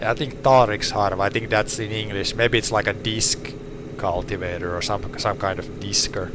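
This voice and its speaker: A laid-back man, slightly low-pitched, talking from a tractor cab. He keeps restarting his phrases in a halting way. A steady hum of engine and air conditioning runs clearly behind him. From Finland. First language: Swedish. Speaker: organic farmer. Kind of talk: casual chat while tractoring.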